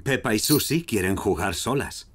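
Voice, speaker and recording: A man with a Castilian Spanish accent narrating a children's cartoon in a clear storytelling delivery. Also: lowish voice, lively intonation, moderate pace, fairly bright tone. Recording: prominent music behind narration.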